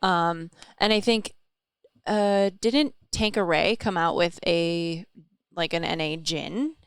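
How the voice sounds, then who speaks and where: Chatty woman, lowish smooth voice, dry close mic, casual podcast talk. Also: slow pace, dry recording. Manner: candid, chatty, swears casually. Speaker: podcast co-host. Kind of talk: casual chat.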